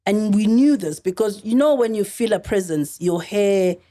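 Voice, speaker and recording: A middle-aged South African woman telling a story as a podcast guest, earnest and halting, often restarting her sentences. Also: medium pitch, expressive, warm tone. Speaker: actress guest.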